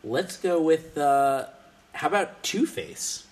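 A man's voice: a little high, animated, takes it slowly. A young man co-hosting a casual comedy podcast, wry and joking, often stumbling and restarting his sentences. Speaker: podcast co-host.

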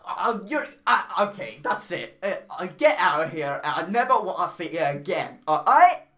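Man playing an excitable, crude barman. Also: high voice, normal speed. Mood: outraged. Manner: hesitant, repetitive, blunt and profane. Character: crude, excitable Irish pub barman and father. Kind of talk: improvised comedy podcast sketch.

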